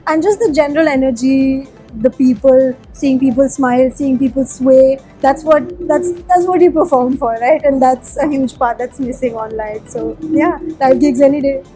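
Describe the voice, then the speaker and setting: A woman's voice: high-pitched, normal speed, few pauses, mellow, faint music behind, low-quality recording. A woman from Sikkim whose first language is Nepali, a musician answering interview questions in a chatty, candid way with plenty of fillers. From Sikkim, India.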